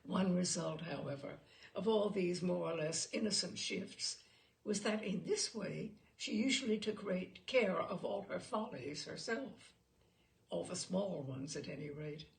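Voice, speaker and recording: A woman's voice: lowish voice, unhurried, creaky. A woman reading a literary short story aloud expressively, with theatrical emphasis on key words.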